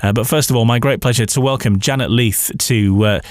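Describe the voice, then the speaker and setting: A man hosting a conversational radio interview, haltingly repeating words as he goes. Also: mid-range voice, dry recording, studio quality.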